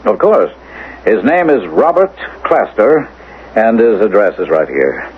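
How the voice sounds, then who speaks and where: A man's voice: lowish voice, very animated, measured pace. An actor playing a determined, persuasive doctor and father in an old-time radio drama, scheming to save his son. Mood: grave. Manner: urgent, persuasive, reassuring. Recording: tinny, band-limited, hissy old radio recording.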